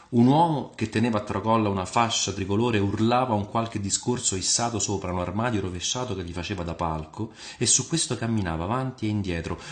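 A man reading a scripted literary historical tale as narrator, in a measured, dramatic storytelling manner. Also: fairly low pitch. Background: music under the voice.

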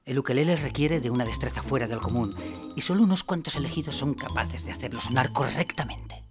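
An actor playing a vain, grumpy, bluffing music producer in a comedic radio drama, with a boastful and hesitant way of talking. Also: male voice, mid-range voice, quick pace, close to an everyday voice. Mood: pompous. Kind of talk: comedic radio fiction dialogue.

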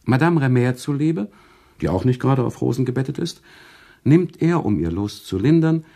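Thoughtful male storyteller, radio play narration. Dark husky voice, lively intonation, deliberate, sympathetic. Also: medium pitch. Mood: narrating.

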